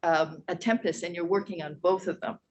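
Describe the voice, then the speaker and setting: Woman academic moderator, lowish voice, explanatory and thoughtful, roomy echo. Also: steady tone, fairly quick. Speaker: professor and moderator. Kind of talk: panel conversation.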